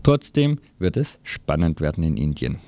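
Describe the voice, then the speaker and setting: A man presents radio news in a low-pitched, gravelly voice with a slightly dark tone. His delivery is that of a read morning report. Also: sing-song, normal speed.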